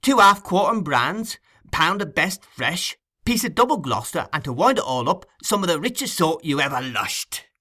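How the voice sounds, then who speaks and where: A man's voice: fairly high pitch, sing-song, moderate pace, few pauses, bright, forceful tone, pitched above the performer's own voice, full character voice. A man voicing a gleeful, boastful young male thief showing off stolen food in a storybook reading.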